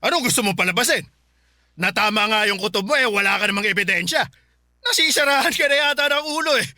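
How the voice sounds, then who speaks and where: A man's voice: sits high, lively intonation, quick pace, no room sound, studio quality, exaggerated voice. A man acting an angry, domineering middle-aged husband and father in a Filipino radio drama, scolding and threatening. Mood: defensive, irritated. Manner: scolding, shouting. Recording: music behind the voice.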